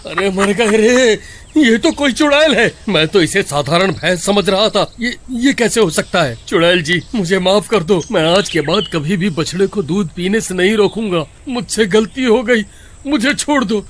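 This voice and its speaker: A man's voice: sits high, expressive, moderate pace, a bit bright, a little hiss or hum. A man voicing a greedy, cowardly village milkman in a melodramatic cartoon story, whiny and exclaiming. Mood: terrified.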